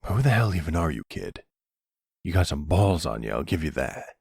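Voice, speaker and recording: A man's voice: rumbling low, big pitch swings, normal speed, vocal fry, darkish tone, husky, no echo, studio quality, heavily acted. A man playing a tough, sardonic older mafia boss and protective boyfriend, threatening and streetwise, in an ASMR roleplay drama. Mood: menacing, sardonic.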